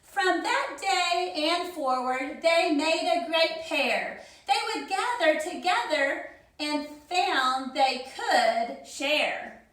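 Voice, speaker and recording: A woman reading a rhyming children's story aloud with theatrical, deliberate phrasing. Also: sits high, animated, fairly bright tone. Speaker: teacher reading a picture book to children. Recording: very echoey, reverberant room.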